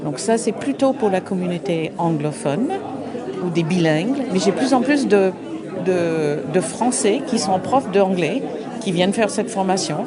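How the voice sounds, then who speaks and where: A middle-aged woman, an English speaker, answering interview questions at an open day, halting and searching for words with frequent restarts. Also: fairly low pitch, lively intonation. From United States. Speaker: association representative. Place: busy open-day event. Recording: crowd noise behind. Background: crowd chatter.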